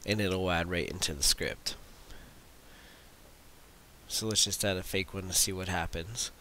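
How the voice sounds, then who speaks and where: A man presenting a software demo as a casual voice-over, explaining in an informal, unhurried way. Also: low-pitched. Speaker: tutorial presenter demonstrating own script. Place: voice-over for a screen recording. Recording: hissy voice-over recording.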